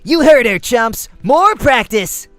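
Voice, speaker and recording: Childish, boisterous young male gamer, acted by a man, pushed up in pitch, theatrical visual novel delivery. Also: sing-song, slow pace, a bit bright, no room sound, soft background music, exaggerated voice. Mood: loud, theatrical. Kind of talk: amateur visual novel character acting.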